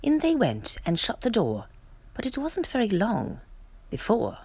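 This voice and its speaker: A woman reading a children's picture book aloud with expressive, theatrical delivery. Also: fairly high pitch, a little slow, husky, dry recording. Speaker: storytime reader.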